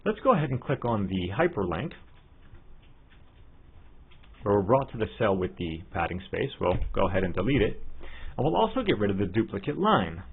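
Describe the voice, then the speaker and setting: A man narrating a scripted software tutorial as an instructor, in a methodical, step-by-step way. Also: on the low side, big pitch swings, fast talker, breathy edge. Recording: muffled, phone-like narrow-band audio.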